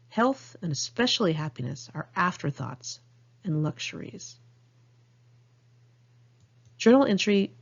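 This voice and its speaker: A woman's voice: fairly low pitch, a little mellow, muffled recording. An American woman reading her own diary-style memoir aloud in a candid, conversational way. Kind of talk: audiobook narration of diary entries.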